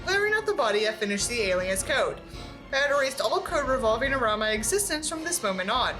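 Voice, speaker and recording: A woman reading a book aloud in an expressive, exasperated way, with sarcastic asides. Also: a little high, fast, over music.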